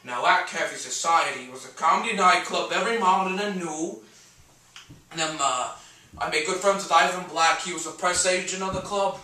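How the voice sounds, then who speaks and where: A man's voice: high-pitched, moderate pace, sharp tone. A man playing a brash, cantankerous middle-aged comedian-painter in a theatrical monologue, stammering and swinging between muttering and bellowing. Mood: nostalgic storytelling. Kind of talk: one-man dramatic monologue on stage. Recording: echoey room, distant microphone.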